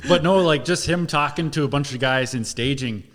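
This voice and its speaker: A man's voice: mid-range voice, moderate pace, fairly bright tone. Easygoing man hosting a chat. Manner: easygoing, laughs and affirms often. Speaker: podcast host. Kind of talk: casual chat.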